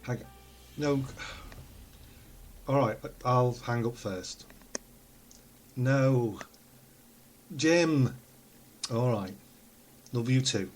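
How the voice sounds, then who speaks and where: A man in a comic phone conversation, halting, coaxing and reassuring. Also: medium pitch, a little mellow, a little hiss or hum.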